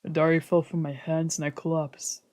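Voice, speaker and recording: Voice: medium pitch, level tone, normal speed, darkish tone. A streamer reading a visual novel aloud as dramatic narration, with casual asides and the occasional stumble. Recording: music behind the voice. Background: background music.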